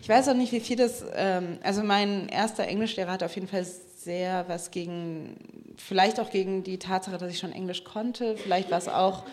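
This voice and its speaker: A woman in a casual conversation, often pausing with fillers and restarting her sentences. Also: medium pitch, fairly even intonation, a little mellow. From Germany. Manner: hesitant, many fillers and restarts.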